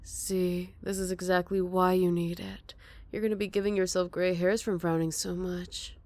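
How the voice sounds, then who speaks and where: A woman playing a smug, flirty captured villain in an ASMR roleplay, teasing and coy with breathy murmurs. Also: on the low side, flat delivery, normal speed, a little mellow, no echo, natural voice.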